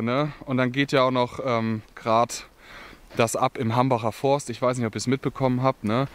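Chatty young man vlogging in a forest. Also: medium pitch. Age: young adult. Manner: chatty and opinionated, many fillers and asides. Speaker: outdoor vlogger. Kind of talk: casual chat to camera. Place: outdoors in a forest. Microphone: camera held at arm's length.

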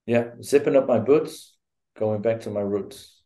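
A man's voice: on the low side, measured pace, dark tone. A middle-aged man with a Dutch accent, a guest speaking on a video call, hesitant with many fillers and restarts. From Netherlands. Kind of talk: casual interview. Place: home room.

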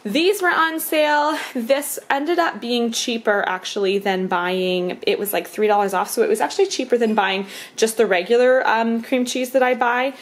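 A woman's voice: a little high, moderate pace. A woman in her thirties chatting casually through a grocery haul at home, laughing often and filling with um and like. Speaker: vlogger, mother of five. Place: home kitchen and living room. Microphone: handheld camera at arm's length.

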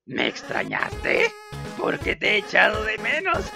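A woman voicing a silly, cheerful little imp boy with playful, drawn-out delivery in a game fan dub. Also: mid-range voice, wildly swooping pitch, vocal fry, over music, full character voice. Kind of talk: fan-dubbed video game dialogue.

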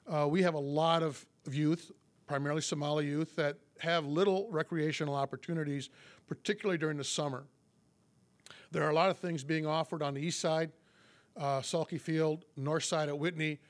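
Halting police chief with a cold. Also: male voice, a little high, fairly even intonation. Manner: halting, many fillers and restarts. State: recovering from a cold. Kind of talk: public address. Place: meeting room. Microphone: podium mic.

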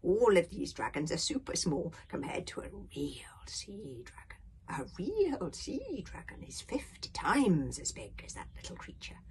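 A woman voicing an old Viking grandfather in a storybook, breathy and wheezy, unhurried and musing with high swoops. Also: sing-song, a little mellow, in a whisper. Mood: musing, sage. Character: wheezy old grandfather, contented, pipe-smoking Viking soothsayer.

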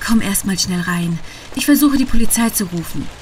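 A woman's voice: medium pitch, expressive, brisk, darkish tone, lightly acted. An actress playing an irritable, sarcastic woman driver in a horror audio drama. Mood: concerned, hurried. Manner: sarcastic, exasperated. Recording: clear rain and car noise behind.

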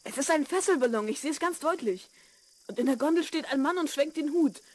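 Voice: sits high, lively intonation, brisk. A performer playing a curious, earnest twelve-year-old schoolboy in a children's radio play. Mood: amazed. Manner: inquisitive, earnest.

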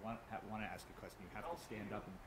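A male panel moderator who talks fast and briskly. His voice sits a little low, and he keeps things moving with quick affirmations. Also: lively intonation.

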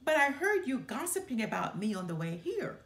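A woman's voice: a little high, sing-song, moderate pace, a bit bright. A woman voicing a young, sensitive sister bear cub in an earnest, emphatic storybook reading. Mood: hurt. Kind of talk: children's storybook read-aloud. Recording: roomy indoor echo.

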